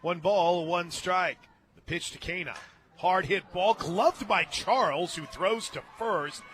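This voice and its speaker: A man doing live play-by-play softball commentary on the radio, calling each play in short clipped phrases. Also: high-pitched, slow pace. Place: outdoor ballpark radio booth. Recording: outdoor crowd noise behind the voice.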